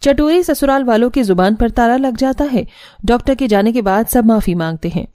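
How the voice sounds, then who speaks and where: A woman's voice: on the high side, normal speed, mellow. A woman reading scripted narration for a cartoon family story.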